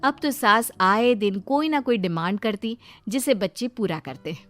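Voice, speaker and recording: A woman narrating a scripted moral story as an expressive storyteller. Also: mid-range voice, unhurried, soft background music.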